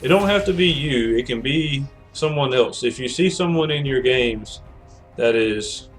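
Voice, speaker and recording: A man's voice: medium pitch, expressive, measured pace, soft background music. A man streaming a video game, chatting casually and rambling with many self-corrections, his voice cracking from a cold. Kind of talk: casual gameplay commentary.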